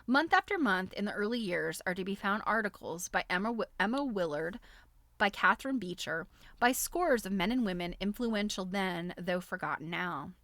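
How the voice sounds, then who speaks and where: A woman with a mid-range voice reads aloud as a streaming host. Her delivery is expressive and amused, moving between bookish narration and casual, chatty asides. The sound is dry, with very little room in it. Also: medium pitch, moderate pace, dry recording. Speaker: host of a live reading stream.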